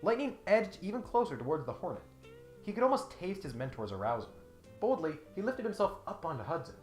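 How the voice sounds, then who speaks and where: A man reads a script as the host of a comedic critique. His pitch moves up and down freely, and he slips from mock-serious narration into sardonic, exasperated commentary. The room adds some echo, and music sits faintly underneath. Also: very animated, fairly quick. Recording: roomy sound with faint music behind.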